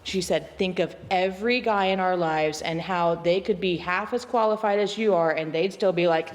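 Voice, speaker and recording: A woman's voice: lowish voice, moderate pace, slight background noise. Chatty, self-deprecating woman in a reverberant hall. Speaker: panelist. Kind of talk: panel discussion answer.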